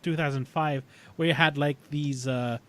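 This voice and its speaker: A man talking casually as a podcast panelist about sci-fi television, thinking aloud in a halting way. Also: a little high, steady tone, fairly bright tone.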